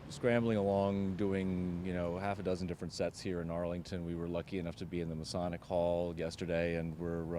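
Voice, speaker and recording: A man giving a casual, impromptu interview, speaking in a halting, rambling way as a production designer. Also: deep voice, darkish tone, a little hiss or hum. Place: outdoors outside a town hall.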